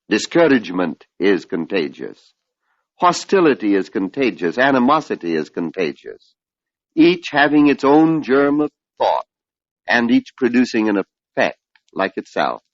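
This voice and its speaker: An older man giving a measured, oratorical spiritual lecture on an old radio broadcast, posing rhetorical questions. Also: on the low side, dry recording. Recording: dull lo-fi vintage radio recording.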